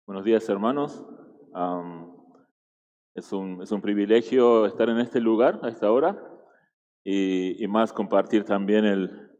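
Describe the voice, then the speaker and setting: A man's voice: fairly low pitch, unhurried, pauses often, a little mellow, in a large hall, muffled recording. A man from Argentina with an Argentine Spanish accent, giving a chatty sermon full of restarts and tag questions. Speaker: guest preacher. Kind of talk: conversational sermon.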